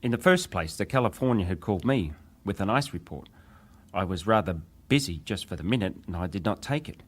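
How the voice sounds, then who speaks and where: An actor playing a young British wireless operator giving testimony in a formal, deferential, precise way, as in a dramatized hearing. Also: male voice, low voice, lively intonation, brisk, husky. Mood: precise, factual.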